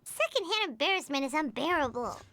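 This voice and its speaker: A woman voicing a tiny, cheeky, childlike fairy companion with a squeaky voice in an anime game. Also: very animated, fairly quick, a bit bright, a little hiss or hum, heavily acted. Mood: exasperated.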